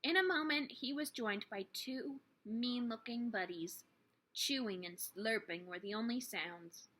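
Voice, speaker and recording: A woman's voice: a little high, measured pace, fairly bright tone. A woman reading a children's book aloud expressively, with dramatic, emphatic phrasing for young listeners. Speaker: teacher reading a story aloud.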